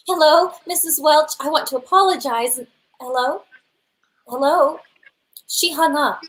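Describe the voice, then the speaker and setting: Woman acting a high-voiced, cheerful wife. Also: a little slow, a bit bright, poor audio. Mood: concerned, polite. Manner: chatty, soothing. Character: cheerful, patient wife and mother. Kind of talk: live radio play reading. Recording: noticeable faint background noise.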